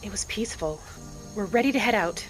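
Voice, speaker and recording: Serene, devout female wood elf played by a woman, measured fantasy game narration, formal wording, music underneath. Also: medium pitch, expressive, lightly acted, poor audio. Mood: calm, reflective. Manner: measured, formal storybook phrasing. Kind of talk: in-character roleplay narration of a fantasy video game.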